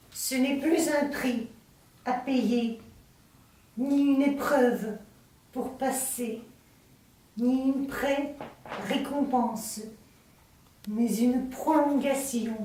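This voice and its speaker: A woman from Russia reciting her own poem in a deliberate, declamatory way, in short phrases with pauses. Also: on the high side, a little mellow. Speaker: poet reading own work. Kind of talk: poetry recitation. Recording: very echoey room, distant mic, faint noise.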